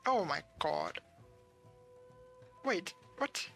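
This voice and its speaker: A game streamer giving casual livestream commentary in a creaky voice, grumbling, swearing and thinking aloud. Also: mid-range voice, very animated, very slow, fairly bright tone, faint music behind.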